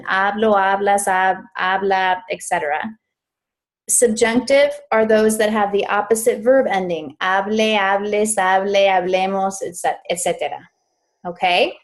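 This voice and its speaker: Woman, interpreting coach, live online class, chatty, self-correcting, mid-range voice, computer mic. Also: medium pitch. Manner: chatty, laughs at mishaps, self-corrects often. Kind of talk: live online grammar lesson.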